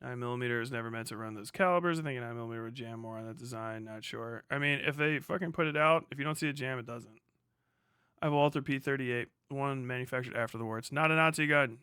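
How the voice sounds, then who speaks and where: A man's voice: mid-range voice, fast, bright, forceful tone, smooth, dry recording, studio quality. A man hosting a livestream with casual, profane, blunt and sarcastic commentary. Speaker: livestream host.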